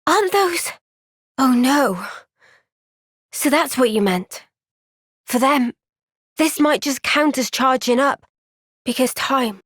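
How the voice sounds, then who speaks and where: An earnest young female soldier from a fantasy video game. Her voice sits on the high side and she takes her time, gentle and reflective with thoughtful pauses. The sound is clean and very dry, with no room around it. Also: expressive, dry recording, heavily acted. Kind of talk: video game cutscene voice acting.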